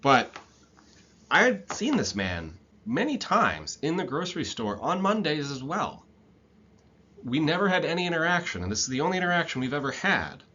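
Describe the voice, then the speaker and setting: A man in his thirties, an instructor telling a personal story in a relaxed, reflective way, with frequent "um"s. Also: a little high, normal speed, slightly rough, dull audio. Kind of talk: storytelling lecture.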